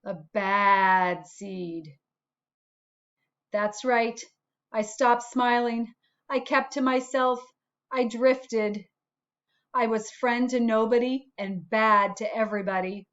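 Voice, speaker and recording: A woman voicing a self-declared bad little sunflower seed in a storybook, confessing with deliberate dramatic phrasing. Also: fairly high pitch, steady tone, fairly bright tone, lo-fi sound. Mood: wistful, dramatic.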